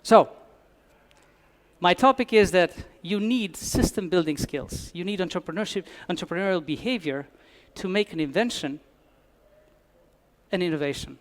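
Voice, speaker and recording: Man, high-pitched voice, conference talk, dry humor, restarts phrases. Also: lively intonation, normal speed, pauses often. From Albania. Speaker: conference speaker. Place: conference hall.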